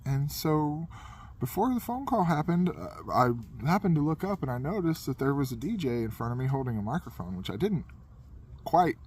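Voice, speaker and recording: A man's voice: a little high, sing-song, moderate pace, darkish tone. Man rambling through a funny story, noisy background. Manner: rambling, self-deprecating. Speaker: podcast host telling a funny story. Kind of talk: casual comedic anecdote.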